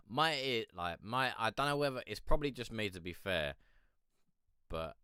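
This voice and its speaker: A low-voiced man, congested from a cold, chats in a loose, slangy, excitable way. His voice is bright and clear, recorded dry and close, and his pitch swings widely as he rambles. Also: moderate pace, no room sound. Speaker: music review show host. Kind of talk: casual live-stream commentary.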